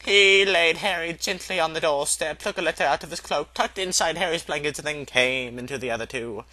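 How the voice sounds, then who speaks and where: A man's voice: a little high, brisk, pushed and bright, no room sound, low-quality recording. A man narrating a storybook aloud as an amateur reader, in a theatrical, loosely performed style.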